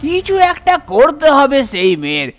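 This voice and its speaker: A woman's voice: high-pitched, very animated, normal speed. A woman voicing resentful, scheming jilted village men in a cartoon story. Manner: grumbling. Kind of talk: cartoon folk tale character voice.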